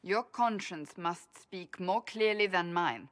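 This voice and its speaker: Game casting: devout female warrior, stern and sincere, formal measured delivery, slightly low register. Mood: admiring, solemn. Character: stern, devout woman warrior, earnest and doubting. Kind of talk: dramatic video game dialogue.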